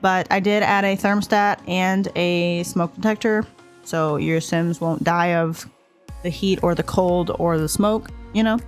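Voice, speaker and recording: A woman's voice: fairly low pitch, steady tone, unhurried. A woman casually narrating a speed build of her game house, a little stuffed up from allergies, rambling and hesitant. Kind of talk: casual voice-over commentary. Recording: voice-over with faint music behind.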